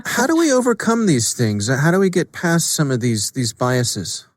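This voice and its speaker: A man's voice: a little high, wildly swooping pitch, normal speed. A man interviews a guest on a podcast in a dry, echo-free recording. He speaks conversationally and informally, repeating small words as he shapes each question.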